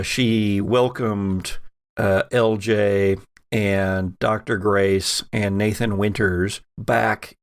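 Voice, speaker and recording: A man narrating a tabletop horror game as its game master, in a measured, dramatic storytelling manner. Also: lowish voice, gravelly, dry recording, clean studio sound. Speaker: game master and podcast host.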